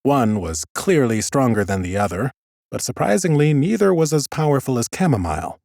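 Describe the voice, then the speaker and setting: A man's voice: medium pitch, very animated, brisk, vocal fry, a little mellow, dry recording. A man narrating scripted first-person fantasy audiobook prose with wry understatement, close on a studio mic. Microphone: close studio microphone.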